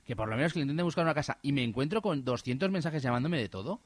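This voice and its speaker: A man telling an animated, indignant personal story in casual radio chat, with many restarts. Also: mid-range voice, very fast, dry recording. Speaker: radio host.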